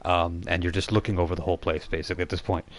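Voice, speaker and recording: Male game master, low register, improvised informal narration, halting explanatory delivery. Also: little pitch movement. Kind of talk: improvised narration of a tabletop role-playing game.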